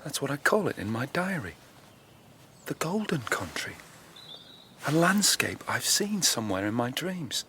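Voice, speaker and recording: An actor in a radio drama playing an earnest, haunted rebel, speaking with confiding intensity. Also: male voice, medium pitch, sing-song, measured pace, pauses often, bright, forceful tone, slight background noise, heavily acted. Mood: dreamy, wondering. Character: earnest, haunted male dissident, hungry for rebellion. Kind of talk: naturalistic radio drama acting.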